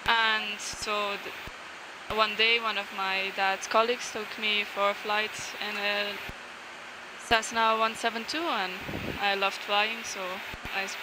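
A woman in her twenties, an airline first officer, introducing herself to camera in a halting way. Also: mid-range voice, level tone. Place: small airliner cockpit in flight. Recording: loud cockpit engine noise.

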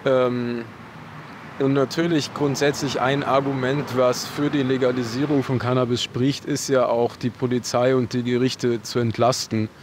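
A man answering a street interview outdoors in thoughtful, measured sentences with frequent fillers, into a handheld mic. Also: mid-range voice, little pitch movement, background noise.

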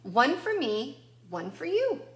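A woman's voice: high voice, wildly swooping pitch, slow pace, fairly bright tone, roomy sound, full character voice. Woman voicing Oliver, an eager little boy.

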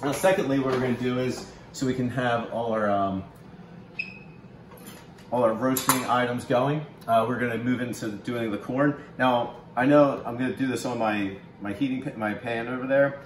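A man in his thirties giving a relaxed, instructive cooking demo in a home kitchen, with plenty of ums and uhs. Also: mid-range voice, moderate pace, noisy background. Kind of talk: casual cooking demonstration. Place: large home kitchen, indoors. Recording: echoey kitchen room sound.

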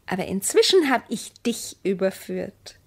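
An actress playing a distrustful wife in a bitter marriage, sharp-tongued, accusing and sarcastic, in a radio play. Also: female voice, mid-range voice, big pitch swings, measured pace, gravelly, full character voice.

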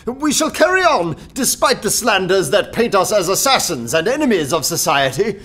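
High-voiced anarchist orator, swooping and earnest. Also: male voice, big pitch swings, moderate pace, a bit bright. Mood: uneasy, fervent. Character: anarchist poet pleading meekness in a nervous election speech. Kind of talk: radio play oratory.

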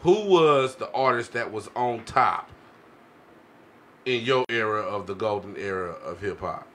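Bright-voiced man hosting a slangy podcast. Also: lowish voice, expressive, unhurried, slight background noise. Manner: slangy, repeats catchphrases like a hype man. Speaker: podcast host. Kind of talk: casual podcast banter. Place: small indoor podcast studio. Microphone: close studio microphone on boom arm.

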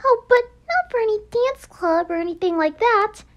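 A woman's voice: very high-pitched, lively intonation, normal speed, muffled recording. A woman voicing a shy, starstruck teenage girl in an anime game, stammering and gushing. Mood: nervous. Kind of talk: amateur anime game voice acting.

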